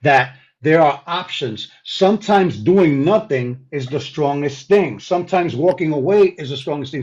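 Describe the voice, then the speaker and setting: A man's voice: fairly high pitch, darkish tone, slightly rough. A man speaking in an impassioned group discussion, emphatic, repeating his points and checking in with "right?". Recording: dull, lo-fi call audio.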